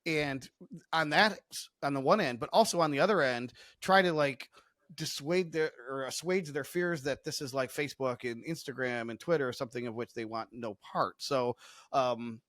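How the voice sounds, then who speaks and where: Medium-pitched man hosting, dry sound. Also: moderate pace, a bit bright, no room sound. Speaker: podcast co-host. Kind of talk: casual interview chat.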